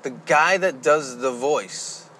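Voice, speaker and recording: A man's voice: medium pitch, slow, pushed and bright, slight background noise. A man co-hosting a casual podcast, chatting bluntly and jokingly with a co-host. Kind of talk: casual conversational podcast chat about movies.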